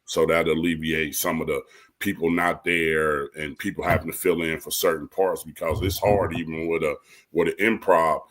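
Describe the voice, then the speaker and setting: Man from Ohio, very low voice, rambling, relaxed, stage cast member. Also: moderate pace. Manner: relaxed, rambling, loose phrasing. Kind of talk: casual podcast chat.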